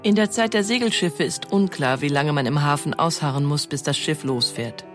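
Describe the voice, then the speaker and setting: A woman reading scripted documentary narration in a factual, measured manner. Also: deep voice, soft background music.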